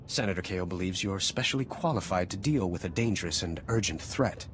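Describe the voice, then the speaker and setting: An actor playing a courteous, earnest political aide in a video game, formal and polished. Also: male voice, sits low, animated, brisk, a bit bright, a little hiss or hum. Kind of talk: video game cutscene acting. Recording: faint background ambience.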